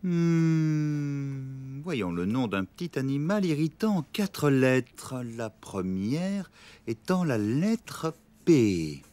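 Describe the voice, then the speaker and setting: A man's voice: medium pitch, sing-song, extremely slow, dark tone. A man playing a placid, kindly, distracted elephant father in a cartoon dub, musing and reassuring.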